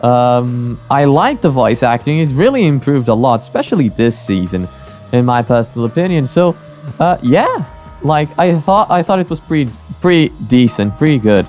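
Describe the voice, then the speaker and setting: A man's voice: mid-range voice, very animated, normal speed, darkish tone, soft background music. A man hosting a reaction video with casual commentary, rambling and often repeating his phrases.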